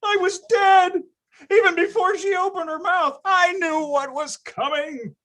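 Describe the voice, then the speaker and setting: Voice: extremely high pitch, fairly even intonation, unhurried, a bit bright. A performer playing a nostalgic, melodramatic storyteller, delivering wry and grandiose scripted comic narration. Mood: horrified, dramatic. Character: melodramatic, nostalgic storyteller recalling boyhood schemes.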